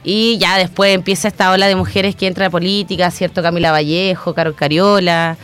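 Chilean woman in her thirties, lower voice. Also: fairly even intonation, fairly quick, fairly bright tone, clean tone. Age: 30s. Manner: reflective, frequent hesitation fillers. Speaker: guest, newly elected council member. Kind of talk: casual interview conversation.

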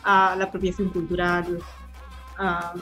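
A young woman with a Chilean accent, a filmmaker chatting casually as an interview guest, relaxed and laughing often. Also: on the low side, unhurried, soft background music. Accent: Chilean Spanish. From born in Hawaii, raised in Chile. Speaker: guest, filmmaker and film teacher. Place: remote interview from Hawaii.

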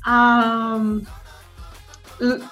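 A young woman with a Chilean accent, a filmmaker chatting casually as an interview guest, relaxed and laughing often. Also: a little high, drawn-out words, faint music behind. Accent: Chilean Spanish. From born in Hawaii, raised in Chile. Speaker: guest, filmmaker and film teacher. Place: remote interview from Hawaii.

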